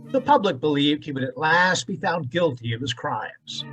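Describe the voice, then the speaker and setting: Animated male radio-drama narrator, higher-pitched. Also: rough recording. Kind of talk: scripted old-time radio drama narration.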